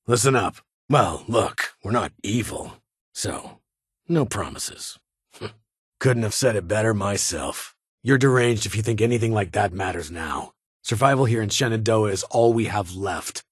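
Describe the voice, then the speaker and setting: Husky, low-voiced ghoul military officer. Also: male voice, lively intonation, unhurried, no room sound. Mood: gruff, brisk. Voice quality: raspy, gravelly. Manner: clipped, formal military delivery with dry authority. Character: stern ghoul military officer in an underground vault, guarded and dutiful. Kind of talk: video game character dialogue.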